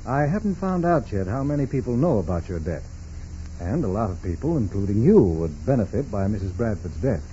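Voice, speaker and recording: An actor playing a calm, probing FBI agent in a 1940s radio drama, questioning in a businesslike, matter-of-fact way. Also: male voice, on the low side, brisk. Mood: calm, pointed. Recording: muffled, noisy 1940s radio recording.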